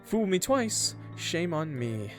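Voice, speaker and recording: A man's voice: a little high, big pitch swings, slow, faint music behind, pitched above the performer's own voice. Man voicing a raised, mock-scolding bar-goer. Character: teasing, sour bar-goer trading barbs with a prankster. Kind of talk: visual novel character voice.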